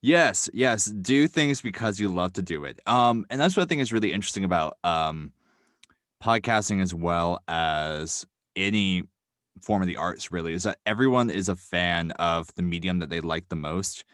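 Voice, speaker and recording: A young man talks with real enthusiasm about making things for the love of it, often pausing with ums and likes. His voice sits very low. The sound is dry and close, with no room echo. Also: expressive, a bit bright. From New York. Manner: earnest, upbeat, many ums and likes. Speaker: guest, writer and podcast creator. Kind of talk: casual podcast interview.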